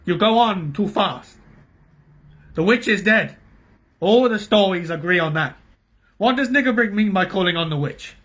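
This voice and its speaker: A learned old doctor cuts in sharply and urgently, his voice raised and a bit high, as a man plays him. The husky reading gives it a rough edge. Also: animated, normal speed, pauses often, fairly bright tone, lo-fi sound.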